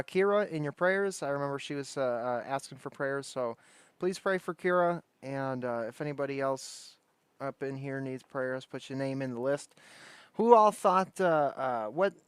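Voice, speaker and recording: A man's voice: medium pitch, clean tone, no echo. A man hosting a livestream, chatting casually in a slangy, rambling way. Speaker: livestream host.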